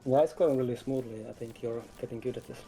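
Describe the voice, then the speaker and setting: Mellow man with fairly flat intonation. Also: medium pitch, quick pace, husky, soft background music, rough recording. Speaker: game developer, livestream guest. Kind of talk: casual explanatory chat. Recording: some background noise.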